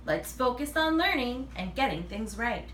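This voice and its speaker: Woman playing a shrill, brisk schoolteacher, roomy recording. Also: very animated, fairly bright tone. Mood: shrill, tight. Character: shrill, tight-voiced woman schoolteacher calling class to order. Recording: roomy, distant mic, faint noise.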